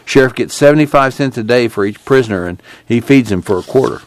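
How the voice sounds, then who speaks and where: A man's voice: mid-range voice, quick pace, natural voice. A man reading the part of a wary migrant farm worker who speaks in folksy rural talk. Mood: urgent. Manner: folksy rural speech, hushed and urgent. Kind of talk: reading a novel aloud.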